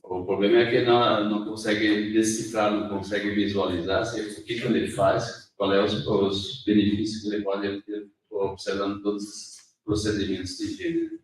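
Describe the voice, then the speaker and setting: A lower male voice gives a consecutive translation, often restarting a phrase to get it right. He sounds distant from the microphone, and the room behind him is very echoey. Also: lively intonation, moderate pace. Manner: rephrases as he goes, with fillers and restarts. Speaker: interpreter. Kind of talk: consecutive interpreting. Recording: echoey hall, voice from far off.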